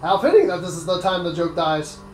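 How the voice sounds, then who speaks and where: A man co-commenting on a let's play with dry, deadpan quips. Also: sits high, fairly quick. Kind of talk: casual game commentary. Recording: echoey room, game music behind.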